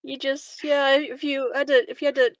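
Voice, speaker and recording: A woman's voice: sits high, little pitch movement, moderate pace, no echo. A woman playing a tabletop roleplaying game, chatting casually with her group. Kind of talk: casual chat.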